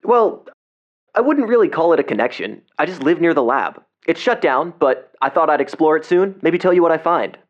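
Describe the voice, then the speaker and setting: An actor playing a cocky, defensive seventeen-year-old amateur explorer in an audio drama, talking casually and bristling when challenged. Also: male voice, on the high side, animated, brisk, highs cut off, close to an everyday voice. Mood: evasive, casual. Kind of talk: audio drama phone dialogue.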